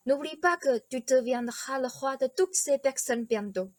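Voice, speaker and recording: Encouraging king and father played by a woman, fairly high voice, dry close sound, coaxing, radio drama. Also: normal speed, a little mellow, no room sound, close to an everyday voice. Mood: urging. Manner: coaxing, persuasive. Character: coaxing, encouraging royal father of a young prince. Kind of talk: radio play acting.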